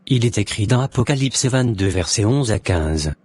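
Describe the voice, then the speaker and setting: Man acting low-voiced solemn devout convert, noisy recording. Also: animated, moderate pace, darkish tone, lightly acted. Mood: solemn, exhorting.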